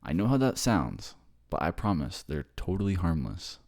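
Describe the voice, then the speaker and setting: A man's voice: low-pitched, sing-song, moderate pace, gravelly, a little mellow, exaggerated voice. A man acting in an ASMR roleplay as an earnest, reassuring boyfriend raised by ghosts, with a hesitant manner. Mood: patient, explaining.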